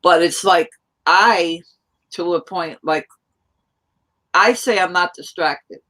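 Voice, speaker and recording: A woman from New York chatting casually on a live stream, rambling in a loud, hyper way. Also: on the low side, takes it slowly. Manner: rambling, hyper, self-described loud talker.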